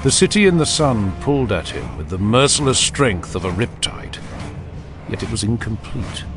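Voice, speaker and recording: A man narrating a dramatic audiobook in a solemn, deliberate storytelling manner. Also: on the low side, sing-song, over music.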